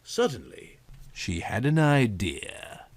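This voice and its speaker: A man's voice: on the low side, very animated, slow, husky. A man reading a story aloud in a theatrical, exaggerated, melodramatic comic style. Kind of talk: melodramatic comic audiobook narration.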